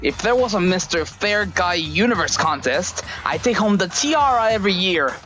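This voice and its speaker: A woman's voice: fairly low pitch, expressive, normal speed, fairly bright tone, soft background music. A woman voicing a gleeful, mocking villainous bear mascot in a theatrical, taunting anime fandub. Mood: mock indignant. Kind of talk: anime game fandub voice acting.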